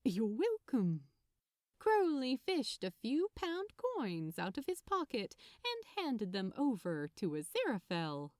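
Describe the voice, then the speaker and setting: A woman narrates a story with lively, swooping intonation. Her voice sits a little high and she takes her time, in a very dry recording. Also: measured pace, hardly any pauses, no room sound. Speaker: fan fiction narrator. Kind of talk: expressive scripted story narration.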